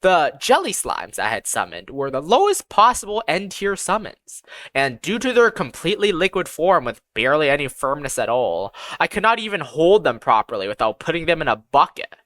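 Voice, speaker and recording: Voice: medium pitch, sing-song, moderate pace, a bit bright, no echo. A narrator reading a first-person fantasy story aloud in a scripted audiobook style.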